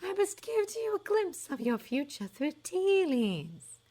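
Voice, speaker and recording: A woman voicing a dramatic, eccentric older female seer with a slow, breathy, mystical delivery. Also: very high voice, big pitch swings, mellow, voice pushed up, heavily acted.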